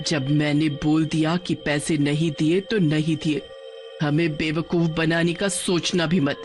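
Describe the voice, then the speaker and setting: A woman's voice: deep voice, steady tone, unhurried, darkish tone. A stern old grandmother witch from a cartoon ghost story played by a woman. She is protective and angry, threatening in tone, with music underneath. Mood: furious, protective. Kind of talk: Hindi cartoon story dubbing. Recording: music behind the voice.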